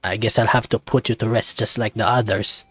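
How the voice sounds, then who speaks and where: A man's voice: on the low side, steady tone, fairly quick, a bit bright, breathy edge, faint music behind. Kotetsu, a man doing a solemn tiger warrior. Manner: grave, formal declarations.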